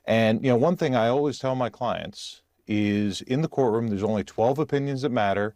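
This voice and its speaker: A man speaking as a lawyer in an interview, giving measured, hesitant opinions. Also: medium pitch, darkish tone, no echo. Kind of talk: interview commentary.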